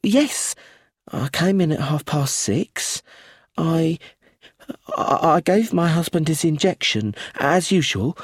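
A man voicing a nervous, frightened young widow in an audiobook, pitched high and strained. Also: a little mellow, no room sound, studio quality.

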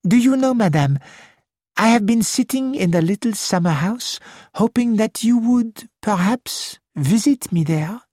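A woman's voice: medium pitch, animated, measured pace, mellow, dry recording, clean studio sound. A woman reading the part of a fussy elderly male detective with a French-flavoured manner, polite and precise. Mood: courteous.

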